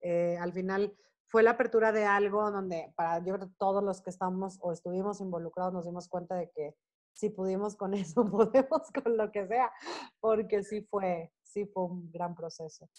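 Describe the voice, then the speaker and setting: A woman's voice: mid-range voice, moderate pace. Mexican woman chatting casually, telling stories. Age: 40s. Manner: animated storytelling, often acting out quoted dialogue. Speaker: guest performer, singer and actress. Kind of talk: casual interview chat.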